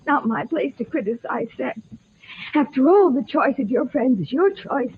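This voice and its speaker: A woman's voice: sits high, animated, normal speed, husky, narrow, tinny audio, heavily acted. An actress playing a prim, fussy, flustered young secretary smitten with her boss, wordy and breathless, in 1940s radio style. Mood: prim, reproachful. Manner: primly wordy, breathless chatter. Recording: band-limited old radio broadcast recording.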